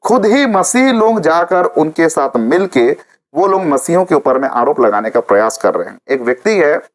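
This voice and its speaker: A man's voice: on the high side, sing-song, moderate pace. A man presenting informal news commentary to viewers, repeating phrases for emphasis.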